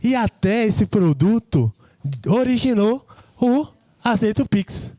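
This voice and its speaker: A man's voice: sits high, animated, slow pace, dark tone, no echo. A Brazilian man giving an informal, jokey tech meetup presentation in colloquial speech full of tag questions. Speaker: engineering team speaker.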